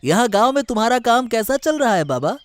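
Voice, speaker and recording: A man plays a friendly, caring son in a village cartoon. His voice is high and lively, solicitous, over faint music. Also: brisk. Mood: caring, reassuring. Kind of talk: Hindi cartoon story voice acting.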